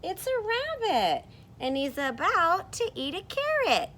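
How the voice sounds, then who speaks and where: A woman's voice: very high voice, wildly swooping pitch, a little slow, fairly bright tone, a little hiss or hum, pitched above the performer's own voice, full character voice. A woman voicing a stubborn storyteller who insists the animal is a rabbit. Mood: teasing.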